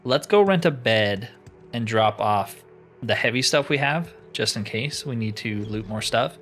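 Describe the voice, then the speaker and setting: A man's voice: mid-range voice, animated, unhurried, a bit bright, soft background music. A man giving casual let's play commentary, chatty and informal as he thinks aloud. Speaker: gaming channel host.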